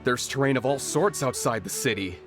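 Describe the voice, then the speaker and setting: A man's voice: medium pitch, a little fast, natural voice. A man voicing an observant, concerned passer-by in a video game. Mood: musing, concerned. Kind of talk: scripted game dialogue. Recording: music behind the voice.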